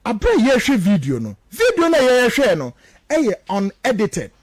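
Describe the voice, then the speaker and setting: A man's voice: very high voice, huge pitch swings, unhurried, dry recording, studio quality. A Ghanaian man, a native Twi speaker, giving emphatic, accusatory political radio commentary in a declamatory way. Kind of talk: political talk radio commentary, mixing local language and English.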